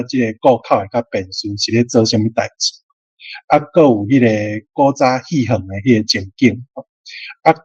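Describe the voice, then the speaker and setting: A man with a Taiwanese accent and a husky voice, explaining things casually as he discusses a story. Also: mid-range voice, no room sound. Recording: dull, slightly lo-fi dry recording.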